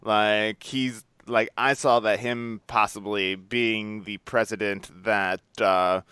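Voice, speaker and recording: A man hosting a casual interview, thinking aloud in a halting, conversational way. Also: on the low side, level tone, bright, forceful tone, clean tone, dry recording. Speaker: podcast host, interviewer.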